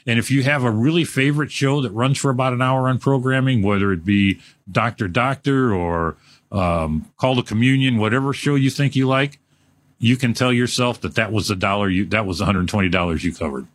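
A man's voice: mid-range voice, a little fast. A man, hosting a live radio fundraiser in a casual, joking way full of fillers. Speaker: radio host.

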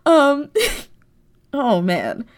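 A woman speaking casually as an interview guest, animated and self-deprecating, and quick to laugh. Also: on the high side. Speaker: interview guest, audio drama writer and performer.

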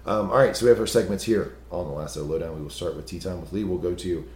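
A man with a very low, hoarse and gravelly voice talks in a loose, chatty way, laughing at himself and restarting his sentences. The recording has a roomy echo around it. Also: fast talker, darkish tone, slight background noise. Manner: chatty, self-deprecating, restarts often. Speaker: podcast co-host. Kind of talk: casual TV recap chat.

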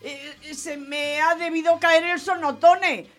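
A woman's voice: very high-pitched, fairly even intonation, slow, a bit bright, soft background music, heavily acted. A woman playing a confused, hard-of-hearing old lady of eighty-four in comic radio theatre, bewildered and exclaiming.

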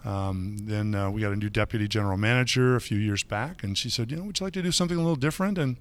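A middle-aged man from Michigan talks through his career in a relaxed, chatty way. His voice sits on the lower side, and he often pauses on fillers and restarts his sentences as he thinks. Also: clean tone. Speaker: guest. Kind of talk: casual interview.

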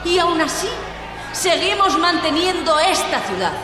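A woman's voice: extremely high pitch, very animated, a little slow, a crowd in the background, full character voice. A woman playing a fiery revolutionary leader in an audio drama, giving a declamatory, rousing political speech to workers. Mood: impassioned, defiant. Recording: echoing large hall, loud music underneath.

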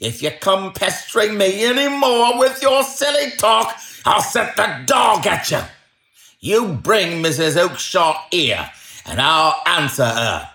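A surly salesman from a storybook, acted by a male narrator, bellowing with indignation. His voice jumps up in pitch and swings widely as he blusters and threatens. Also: big pitch swings, takes it slowly, echoey room, faint music behind, full character voice. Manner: blustering, shouted outbursts.

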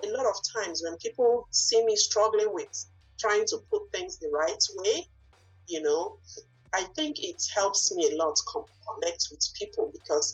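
Very husky Nigerian woman, searching for words. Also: mid-range voice, dull audio. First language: a Nigerian language. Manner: searching for words, frequent fillers and restarts. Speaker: guest, lawyer and business owner. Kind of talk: conversational interview answers.

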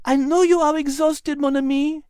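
A man voicing an emotional, tearful woman who drops French words, in a storybook reading with a much higher put-on pitch. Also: fairly even intonation, moderate pace, darkish tone, dry recording, clean studio sound. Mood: tender. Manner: high, crying, gushing.

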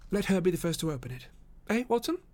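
A man playing a brisk, quietly amused gentleman detective with a precise, commanding manner in an audiobook story. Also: sits high, big pitch swings, pitched above the performer's own voice.

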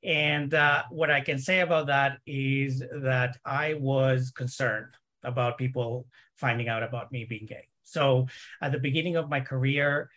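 A man from El Salvador, speaking as a panel guest in a measured way. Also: mid-range voice, fairly even intonation, sharp tone. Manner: measured, with "uh" pauses. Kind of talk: panel discussion.

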